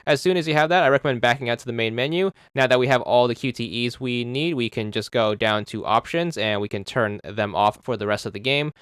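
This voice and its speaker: Male walkthrough narrator with a smooth voice, dry close-mic sound, calm instructive delivery. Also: medium pitch, brisk, hardly any pauses, no room sound. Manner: steady, instructive, repeats "just make sure". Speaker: game walkthrough guide. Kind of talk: instructional game commentary.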